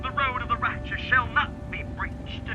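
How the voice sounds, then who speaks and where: An actor playing a fanatical, menacing doomsday preacher, declaiming ominously over a muffled radio in an audio drama. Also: male voice, extremely high pitch, over music. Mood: menacing fervour. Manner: slow, ominous, fervent declaiming.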